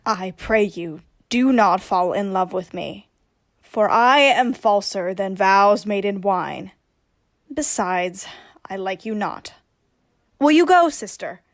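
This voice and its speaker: A woman plays a bossy, teasing heroine posing as a youth in a Shakespearean radio play. Medium-pitched and recorded very dry, the delivery is theatrical and scolding. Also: expressive, takes it slowly, no echo. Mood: brisk, dismissive.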